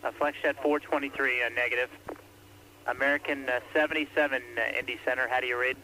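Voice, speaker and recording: A man's voice: mid-range voice, little pitch movement, fast, pushed and bright, poor audio. A man working as an air traffic controller, calling aircraft in terse, clipped radio phrasing. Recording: archival radio recording with faint hiss.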